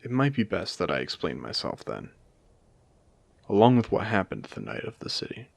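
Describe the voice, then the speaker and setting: A man playing a courteous, eerie AI who hosts an abandoned post-apocalyptic casino in an audio drama, speaking theatrically with deliberate pauses. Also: very animated, no echo, full character voice. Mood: grave, courteous. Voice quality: low, creaky voice. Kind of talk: scripted character audio drama monologue.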